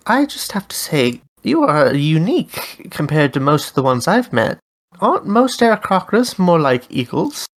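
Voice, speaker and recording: A curious dream host, played by a woman with a low voice. She questions her guests in a friendly, measured way. Her pitch moves around a lot. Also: sing-song. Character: Rue, a dream-summoning interviewer. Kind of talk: tabletop character interview.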